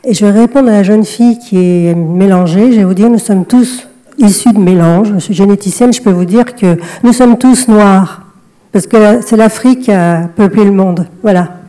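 A woman's voice: mid-range voice, moderate pace, dark tone, poor audio. A woman, a geneticist, making a direct and assertive comment from the audience.

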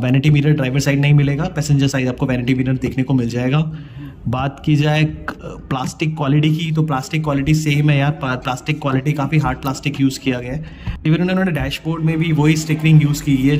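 A chatty man walks around a car at an indoor auto show and talks to the camera as he goes. His voice sits fairly high for a man, and he drops English words into Hindi while calling his viewers "guys". Music plays clearly behind him. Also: darkish tone. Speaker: car reviewer. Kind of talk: casual walkaround car review. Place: busy indoor auto expo hall.

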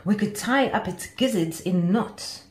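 Lady doing an earnest eager little girl mosquito, storybook style, bouncy pitch, echoey room. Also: lively intonation, a little slow, natural voice. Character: eager, earnest young female mosquito, patient loyal friend.

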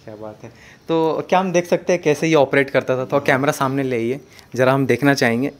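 A man's voice: mid-range voice, fairly quick. A man hosting a house-tour interview indoors, asking eager questions and speaking with reverence about his subject. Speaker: video host.

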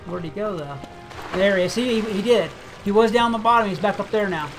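A game video creator giving casual gameplay commentary, thinking aloud in a relaxed, chatty way. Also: mid-range voice, brisk. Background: game music.

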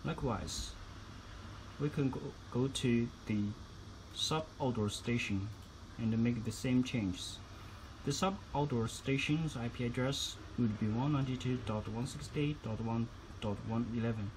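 A man's voice: medium pitch, unhurried, noisy background. A man giving instructional tutorial narration as a product demonstrator, phrasing each step carefully.

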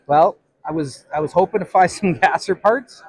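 Husky middle-aged man, casually chatting. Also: a little high, a little mellow, no echo, poor audio. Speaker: vlogger shopping at a swap meet. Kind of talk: casual chat. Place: large busy indoor swap meet hall.

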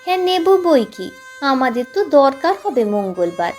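A woman voicing a brisk, questioning village aunt in a narrated story. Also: high-pitched, big pitch swings, a little mellow. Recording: music playing behind the voice.

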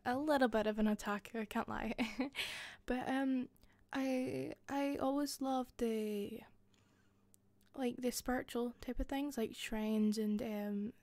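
A woman with a softened Scottish accent playing an attentive hostess in a roleplay, speaking softly into a close mic with frequent giggles and whispers. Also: a little high, fairly even intonation, unhurried, dry recording. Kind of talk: listener-directed roleplay.

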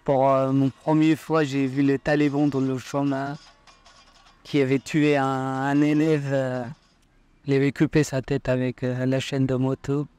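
Slow, halting Afghan man. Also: medium pitch, monotone, darkish tone, clear, resonant tone, faint music behind. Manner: slow, halting non-native speech. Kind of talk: documentary interview.

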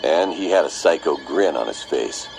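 Very deep-voiced man narrating over music. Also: lively intonation, normal speed, gravelly, low-quality recording. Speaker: horror story narrator. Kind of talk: scripted narration. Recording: narration under loud music, played back from a video.

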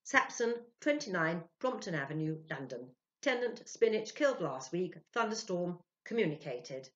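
A woman voicing a shrewd, excitable wife in a story reading, exclamatory and expressive. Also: fairly low pitch, moderate pace. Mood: triumphant. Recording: dull, lo-fi sound.

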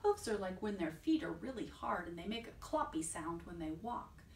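A middle-aged American woman talking and reading aloud to small children at storytime, in simple, expressive language. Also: fairly low pitch, normal speed, echoey room, distant mic, slight background noise. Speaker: preschool storytime librarian. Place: small indoor room. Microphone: camera a few feet away.

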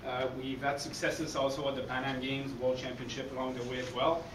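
French-Canadian coach lecturing in an echoey hall. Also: male voice, mid-range voice, a little fast, recorded from a distance. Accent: Québécois French. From Quebec City, Canada. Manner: energetic, chatty, often adds "okay?". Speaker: baseball coach giving a conference talk. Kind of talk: conference presentation. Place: dark conference hall, speaking in front of a screen. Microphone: room recording from a far camera. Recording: echoey hall, heard from afar, noisy.

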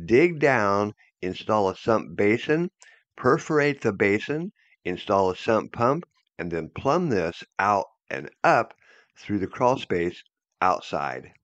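A man narrates a drainage job as voice-over in a steady, instructive way. The recording is close and dry, with no room in it. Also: lowish voice, slow, dry recording, highs cut off.

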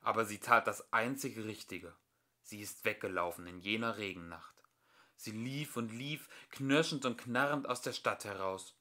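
A man's voice: on the low side, moderate pace, sharp tone. A man narrating a children's story expressively, as an amateur audiobook reader.

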